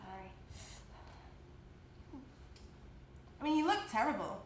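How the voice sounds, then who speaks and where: A woman's voice: on the high side, sing-song, racing. A woman playing a concerned, probing, awkwardly kind workmate in a live stage play, asking questions solicitously. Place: indoor stage, recorded live. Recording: echoey, noisy live theatre recording.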